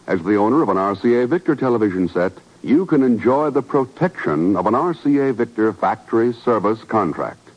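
A man announcing in 1950s radio style, reading scripted ad copy with a polished, emphatic and deliberate delivery. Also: medium pitch. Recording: muffled old radio recording.

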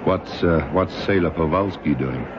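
A very deep male voice of an actor as a bossy blind detective who loves boxing. He talks in terse, colloquial tones. It is an old radio drama with dull, noisy sound. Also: moderate pace, lightly acted. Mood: knowing. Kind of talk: 1960s radio drama acting.

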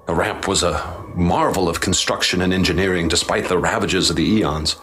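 A man narrating and acting as expedition leader in a radio drama, grave and measured. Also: deep voice, a bit bright, a little hiss or hum.

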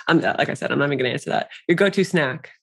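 A woman in her thirties hosting a casual podcast chat, quick and chatty, often laughing. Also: low-pitched, husky. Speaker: podcast host.

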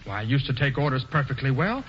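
A man's voice: medium pitch, sing-song, fast talker, a little hiss or hum, lightly acted. An actor playing an earnest, hot-tempered young male hero who stammers a little, on a dull old radio broadcast. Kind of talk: 1940s radio acting.

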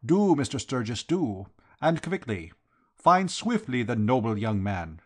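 A man's voice: medium pitch, very animated, measured pace, voice pushed up. Guy doing a grand old-world foreign count, flowery formal talk, very earnest, storybook style. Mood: courtly, earnest. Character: florid, courtly foreign count, solemnly devoted to his master.

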